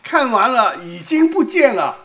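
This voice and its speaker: A woman's voice: on the high side, big pitch swings, moderate pace, a bit bright. A woman from Hong Kong with a Cantonese accent, preaching in a conversational way and putting questions to her audience. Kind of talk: sermon with live interpretation.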